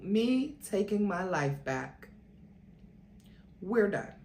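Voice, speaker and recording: A woman voicing a defiant, fed-up woman confronting her ex, forceful and commanding in a dramatic story reading. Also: mid-range voice, very animated, extremely slow. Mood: triumphant. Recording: roomy echo with faint noise.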